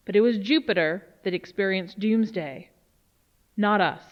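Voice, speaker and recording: A woman playing a grave research official, delivering a solemn, measured dramatic briefing. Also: mid-range voice, lo-fi sound, close to an everyday voice. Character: grave female research official briefing on a doomsday alien threat. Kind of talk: dramatic scripted monologue.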